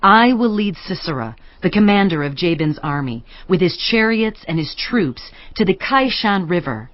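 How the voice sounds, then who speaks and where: A woman's voice: lowish voice, lively intonation, normal speed, no room sound, natural voice. An actress playing a solemn, resolute woman prophet and leader in a dramatised audio Bible, declaiming with command. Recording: dry but slightly dull.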